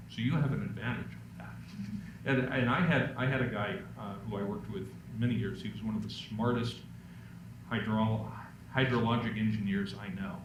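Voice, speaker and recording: A middle-aged man with a deep voice presents a public speaking workshop, telling stories with self-deprecating jokes. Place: lecture room. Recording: echoey room, distant mic, background noise.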